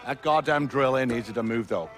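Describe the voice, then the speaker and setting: A man's voice: medium pitch, fairly quick, fairly bright tone, faint music behind, close to an everyday voice. An actor playing a no-nonsense heist planner who gives his crew businesslike orders in a video game cutscene. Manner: instructive, businesslike.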